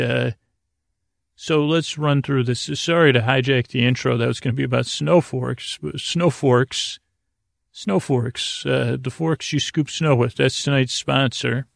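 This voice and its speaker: Male sleep podcast host: gravelly, creaky, meandering and halting, whimsical, recorded close and dry. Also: medium pitch, dry recording. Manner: meandering, halting, stammering, repeating himself; soothing, whimsical, absent-minded. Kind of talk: rambling bedtime monologue meant to lull listeners to sleep.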